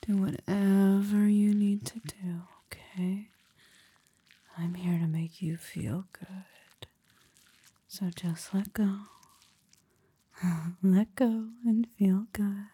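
Breathy woman, ASMR whisper, slow intimate delivery, mellow tone, close mic. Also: mid-range voice, fairly even intonation, no room sound. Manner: soft, slow, teasing whisper.

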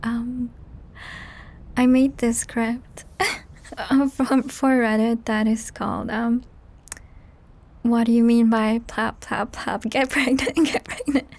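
A young woman hosting a whispered, ASMR-style chat podcast, rambling softly with giggles, her voice strained and cracked. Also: a little high, fairly even intonation, slow, pauses often. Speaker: podcast host and audio creator. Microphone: high-end studio microphone.

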